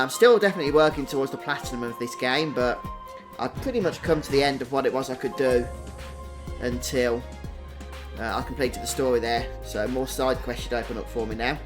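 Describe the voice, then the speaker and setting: A man's voice: mid-range voice, normal speed, pauses often. A man giving casual live stream commentary as a game streamer. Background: game music behind the voice.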